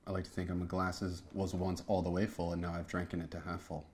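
A deep-voiced man answers interview questions deadpan. His delivery is quick, with very little rise or fall. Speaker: ice hockey player being interviewed. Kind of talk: mock-serious comic interview.

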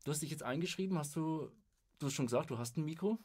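A man's voice: a little high, fast talker, darkish tone. A man hosting a podcast and asking questions in a casual chat. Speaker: podcast host, interviewer.

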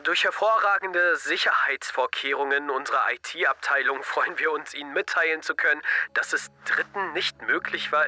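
Cocky schoolboy, slightly high and very sharp voice, sarcastic, offhand, naturalistic radio play. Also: male voice. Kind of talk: naturalistic audio drama acting.

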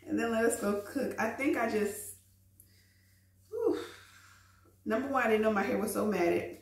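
A woman in her forties talks straight to her viewers as she does her chores and cooks, chatty and quick to laugh. Her voice has a gravelly, fry-tinged edge. It is picked up on a phone camera held at arm's length, in echoey bathroom and kitchen spaces. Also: on the high side. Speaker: vlogger. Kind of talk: casual cook-with-me vlog. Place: home bathroom, then kitchen.

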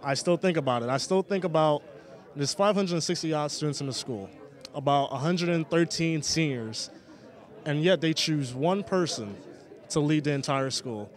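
A man's voice: a little high, some chatter behind. A young man being interviewed about his time as a student leader, speaking earnestly with frequent restarts. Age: young adult. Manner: earnest, halting, many restarts and fillers. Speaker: former student leader, guest. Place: outdoors, city setting.

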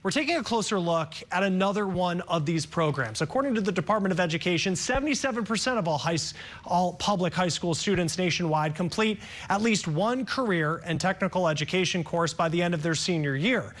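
High-voiced man reading a news intro. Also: a little fast, fairly bright tone. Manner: crisp, read-from-script delivery. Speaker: news presenter.

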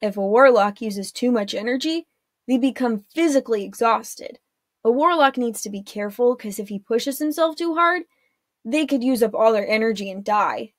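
A wry teenage warlock boy, low-key and matter-of-fact, as a woman reads him. He explains things in a steady, unbothered way. The sound is close and dry. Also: normal speed, no room sound, lightly acted. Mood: calm.

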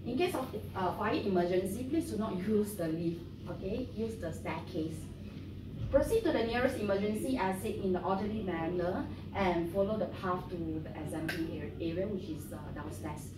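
Woman trainer in a roomy classroom. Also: medium pitch. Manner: friendly, halting with many fillers. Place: training room with an audience. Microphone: far camera. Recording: roomy, distant, noisy.

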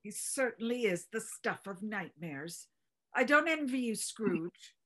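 A woman's voice: medium pitch, lively intonation, a little slow, a bit bright. A woman playing a sympathetic, sentimental companion, commiserating and emotive in a theatrical conversation.

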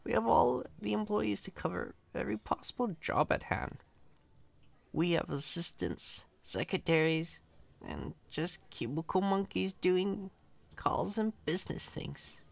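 Man acting a chatty, cocky coworker, creaky and animated. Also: sits high, unhurried, no room sound, full character voice. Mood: easygoing, friendly. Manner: casual, reassuring, playfully boastful. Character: chatty, cocky, friendly male company employee welcoming newcomer. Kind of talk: ASMR roleplay.

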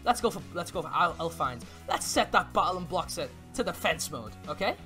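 A man's voice: fairly high pitch, sing-song, fairly quick, sharp tone. A man chatting casually in an improvised gaming roleplay, rambling and often repeating himself. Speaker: player in a Minecraft roleplay video. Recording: game music behind the voice.

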